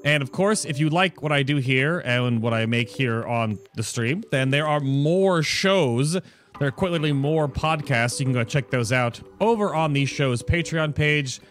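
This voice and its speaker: A man's voice: mid-range voice, moderate pace, fairly bright tone. A man hosting a casual gaming show in a chatty way, with joking asides and self-interruptions. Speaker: podcast and stream host. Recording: music playing behind the voice. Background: background music.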